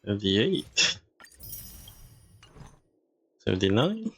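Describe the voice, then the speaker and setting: A man's voice: on the low side, big pitch swings, extremely slow, background noise. A man giving casual game stream commentary, muttering to himself in short fragments.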